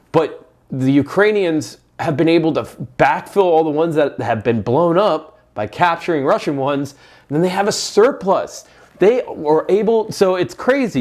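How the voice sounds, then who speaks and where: A man's voice: on the high side, a little mellow. A man co-hosting a podcast, chatting casually in a slangy, profane way and telling anecdotes. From Europe, partly grew up there. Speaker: podcast co-host; military veteran. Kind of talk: casual chat.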